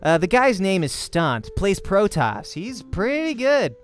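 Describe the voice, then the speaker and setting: A man voicing a cocky, jokey young male pro gamer in a visual novel, with a breezy, teasing, animated delivery. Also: sits high, a little slow, soft background music, voice pushed up. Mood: cagey, upbeat.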